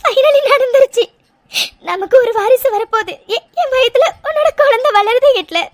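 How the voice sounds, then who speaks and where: Sweet, emotional young wife, acted by a woman: tiny high-pitched voice, halting plaintive phrasing, dry audio-drama sound. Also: a little mellow, dry recording. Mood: crying with joy. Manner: halting, repeating words, plaintive. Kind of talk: emotional serial-style audio drama acting.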